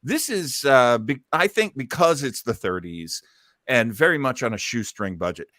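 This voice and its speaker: Male show host, bright and keen, chatting casually and enthusiastically, recorded dry. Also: medium pitch, lively intonation, normal speed, no room sound. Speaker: host of an old-time radio listening show. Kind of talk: casual hosting and discussion.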